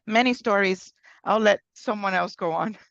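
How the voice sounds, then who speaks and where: Brief: woman panelist, very gravelly fry, dull lo-fi call audio. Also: mid-range voice, moderate pace, a bit bright, no echo. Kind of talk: casual panel talk.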